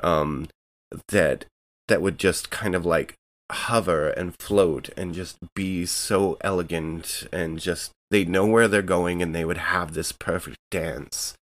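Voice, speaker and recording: A man playing a tabletop game, speaking haltingly and restarting as he searches for words. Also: low-pitched, fairly even intonation, fairly bright tone, no echo. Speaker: player. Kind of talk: tabletop roleplay chat.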